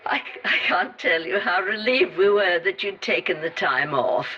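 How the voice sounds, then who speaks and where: Muffled phone line, fond worried mum, breathy, animated intonation, audio drama. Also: female voice, fairly low pitch, moderate pace, full character voice. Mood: surprised, concerned. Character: concerned, affectionate mother on the phone. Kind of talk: horror audio drama acting.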